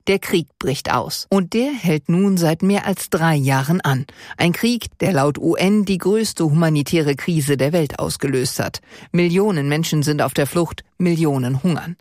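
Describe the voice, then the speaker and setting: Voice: mid-range voice, unhurried, a little mellow, no echo, studio quality. A reporter delivering scripted news narration.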